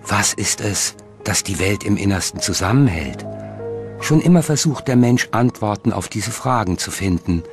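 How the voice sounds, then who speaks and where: A man with a fairly low voice narrates a documentary. He reads his script in a measured, articulate way, over soft music. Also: lively intonation.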